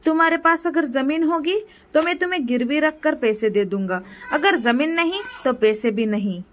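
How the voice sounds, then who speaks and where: Stingy rich moneylender, male character acted by a woman, high pitch, dismissive, storybook villain. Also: expressive, normal speed, a little hiss or hum. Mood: annoyed.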